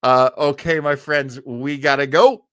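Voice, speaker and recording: A man's voice: mid-range voice, steady tone, a little slow, fairly bright tone, clear, resonant tone, no room sound, clean studio sound. A man with an American accent hosting a casual livestream, chatting to viewers in a rambling, effusive way. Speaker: livestream host.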